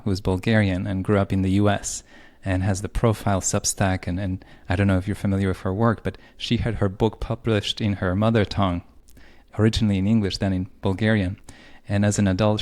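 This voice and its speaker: A man's voice: on the low side, darkish tone. A man from Poland, a podcast host musing thoughtfully in conversational interview style. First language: Polish. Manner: thoughtful, laughs lightly, reflective.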